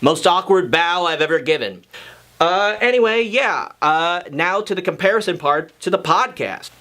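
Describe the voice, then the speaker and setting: A man's voice: sits high, moderate pace. A man reading fan fiction aloud as a host, narrating expressively and acting out the dialogue. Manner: expressive, acts out characters' dialogue. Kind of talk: dramatic story reading.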